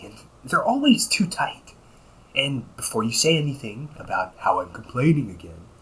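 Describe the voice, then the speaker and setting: A man's voice: mid-range voice, a bit bright, poor audio. A man playing a cocky, weary, centuries-old but young-sounding vampire brother in a conversational audio roleplay, grumbling with dry sarcasm. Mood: sarcastic, tired. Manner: sarcastic, grumbling, often chuckling. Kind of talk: audio roleplay, conversational one-sided dialogue.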